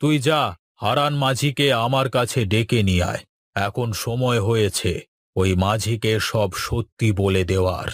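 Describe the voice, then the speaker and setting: A man's voice: medium pitch, a little slow, no echo, clean studio sound, lightly acted. A man voicing a protective father in a village fairy-tale cartoon, with a cautioning, paternal manner. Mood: reassuring, resolved. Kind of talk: Bengali cartoon voice acting. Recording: music under the voice.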